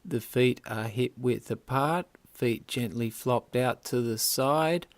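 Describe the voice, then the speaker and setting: A man leads a guided yoga relaxation at a very unhurried pace. His mid-pitched voice gives measured, deliberate instructions in short phrases, recorded dry and close with little room sound. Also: dry recording.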